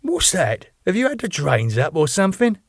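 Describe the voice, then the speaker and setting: A brash, streetwise tour manager in his thirties chats away in a matey tone, as a man puts him on. The voice is lifted well above the reader's usual pitch. The sound is close and dry. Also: huge pitch swings, moderate pace, breathy edge, dry recording, exaggerated voice. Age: 30s.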